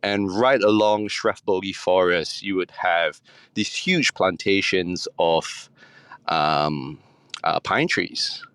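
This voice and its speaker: Singapore-raised guy, deep voice, lots of ums, dry close mic. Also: slow, a bit bright, clean tone, no echo. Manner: hesitant, many ums and restarts. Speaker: chef and butcher, podcast guest. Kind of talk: relaxed interview answers.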